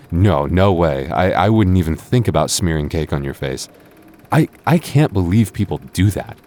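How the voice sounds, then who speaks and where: A man playing a devoted, earnest young boyfriend in an intimate audio roleplay, speaking haltingly with stammers. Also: sits low, expressive, a little mellow. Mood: affectionate, wistful. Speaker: voice actor.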